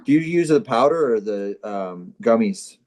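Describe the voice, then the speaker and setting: A man with a mid-pitched voice hosts a comedy livestream over a video call. He banters casually and keeps a deadpan, mock-serious delivery. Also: moderate pace. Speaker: co-host of a live comedy show.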